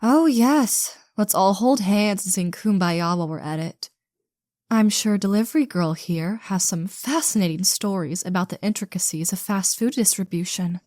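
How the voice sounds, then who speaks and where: A woman's voice: medium pitch, moderate pace, darkish tone, no room sound, studio quality, close to an everyday voice. A woman playing a snobbish, sarcastic movie star with a condescending, theatrical drawl in an audio roleplay. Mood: mocking. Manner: condescending, theatrical drawl, calls others darling. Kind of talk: scripted audio roleplay acting.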